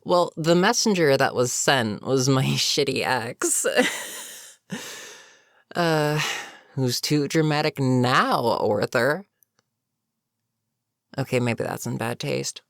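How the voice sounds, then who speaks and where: Gravelly goblin captain played by a voice actor, fantasy roleplay audio, wry and weary, casual sardonic asides, unhurried pace, close dry sound. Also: medium pitch, dry recording. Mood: darkly amused. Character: wry, weary goblin captain, amused and businesslike toward a captive. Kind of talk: immersive character roleplay monologue, conversational.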